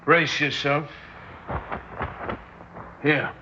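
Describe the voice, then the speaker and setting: Serious army colonel in an old radio drama, clipped official manner, muffled recording. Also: male voice, a little high, level tone, very slow, bright, forceful tone, a little hiss or hum, heavily acted. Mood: sober. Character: sober male colonel breaking grim news. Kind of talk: 1960s radio drama acting. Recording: dull vintage 1960s radio recording.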